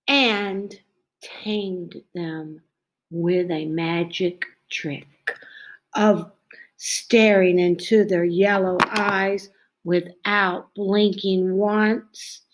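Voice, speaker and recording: A woman reading a children's picture book aloud in a dramatic, expressive way, stretching out phrases and dropping into hushed tones. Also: mid-range voice, drawn-out words. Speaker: storybook reader.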